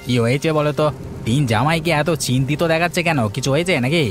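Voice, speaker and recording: A man's voice: a little high, fast talker, faint music behind, close to an everyday voice. A man playing a helpful, concerned village elder in a cartoon folk tale, asking questions and giving advice. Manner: advising, inquiring.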